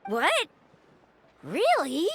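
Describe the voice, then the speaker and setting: A woman's voice: high voice, wildly swooping pitch, very slow, a little hiss or hum, full character voice. A woman voicing an earnest, easily hurt small child in a video game, pleading and emphatic. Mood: surprised, anxious. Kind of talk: scripted video game dialogue.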